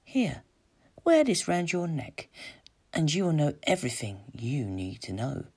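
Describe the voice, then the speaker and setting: Man putting on a high-pitched cruel enchantress. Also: wildly swooping pitch, a little slow, breathy edge, exaggerated voice. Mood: coaxing. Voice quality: soft when coaxing.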